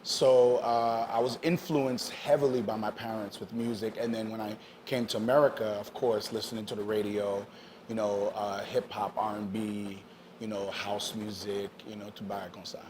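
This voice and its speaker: A Haitian-born man in his fifties speaks with a lowish voice, sharing his story in an easygoing, rambling way. He fills pauses with you-knows and ums and drops into French here and there. The recording has noticeable background noise. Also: level tone. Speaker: DJ guest. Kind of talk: casual interview chat.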